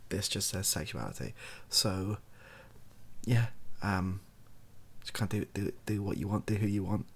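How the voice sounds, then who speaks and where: A British man with a very low, gravelly voice speaks softly, sometimes sinking almost to a whisper. He rambles off the cuff as a video host, wry and self-deprecating, with pitch that swings around freely. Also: expressive, moderate pace. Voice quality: soft, breathy, lapsing into near-whisper. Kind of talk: softly spoken improvised rambling monologue.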